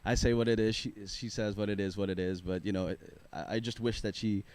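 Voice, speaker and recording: Low-voiced young man, easygoing guest. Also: brisk, clear, resonant tone, no echo. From Philippines. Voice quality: low baritone voice. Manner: relaxed, rambling, filler-heavy, laughs easily. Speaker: singer-songwriter guest. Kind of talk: casual radio interview chat. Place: radio studio.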